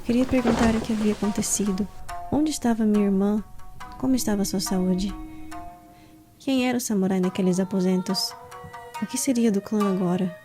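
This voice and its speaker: Actress as dutiful clan heiress, Brazilian accent: mid-pitched, formal, introspective first-person narration, clear music bed. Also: female voice, moderate pace, lightly acted. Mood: troubled. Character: dutiful, troubled daughter and heiress of samurai clan.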